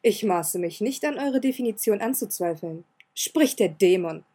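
A woman's voice: medium pitch, lively intonation, normal speed, warm tone, husky. Woman doing a cold, curt boy earl.

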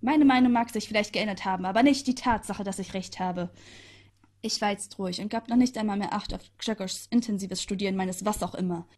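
A woman's voice: medium pitch, brisk, darkish tone. A woman narrating a first-person story aloud with chatty, sarcastic asides.

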